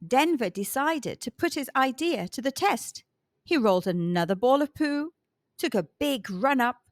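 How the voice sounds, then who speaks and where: A woman reads aloud to children as a storytime host. Her voice is high and swoops expressively, the delivery is slow, and the sound is dry. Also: no room sound.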